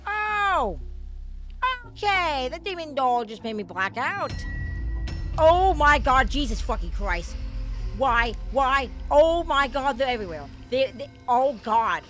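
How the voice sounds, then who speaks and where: A streamer with a voice prone to cracks, talking rambly through a horror game with many fillers. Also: female voice, sits high, big pitch swings, slow, a bit bright, soft background music. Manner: rambling, filler-heavy, jumpy. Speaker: gaming streamer. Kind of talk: let's play commentary.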